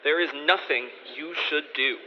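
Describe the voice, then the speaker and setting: A man's voice: a little high, moderate pace, close to an everyday voice. A man playing a court jester who answers his king in wry, teasing riddles in a radio drama. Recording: dull lo-fi audio with faint hiss.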